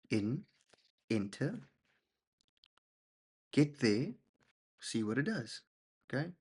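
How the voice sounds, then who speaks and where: A young man, a husky voice and a rambling, self-deprecating manner, walking through trades and often checking in with "okay?". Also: medium pitch, darkish tone. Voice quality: gravelly, husky. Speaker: trading channel host. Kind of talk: casual screen-share trading walkthrough.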